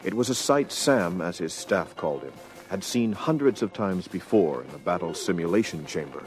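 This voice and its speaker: Deep-voiced male dramatic story narrator. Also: darkish tone, low-quality recording. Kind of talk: dramatic scripted story narration for audio adventure record.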